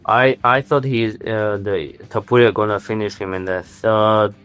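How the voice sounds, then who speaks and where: Brief: young adult male, Armenian first language, low and smooth voice, halting English with many fillers, faint music bed. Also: steady tone, fairly bright tone. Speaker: interviewed fighter. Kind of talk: casual interview answers.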